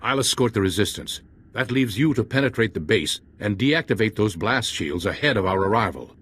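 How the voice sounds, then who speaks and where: An actor playing a male officer giving briefings in a game cutscene, in a brisk, businesslike way. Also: on the low side, lively intonation, fairly bright tone, a little hiss or hum. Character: male Republic officer, brisk military planner. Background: game music.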